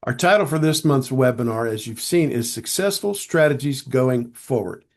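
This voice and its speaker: A man hosting an online webinar, speaking in a measured, formal, deliberate way over a video call mic, his voice a little strained as he loses it. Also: medium pitch, expressive. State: losing his voice. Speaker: webinar host. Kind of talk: scripted webinar introduction.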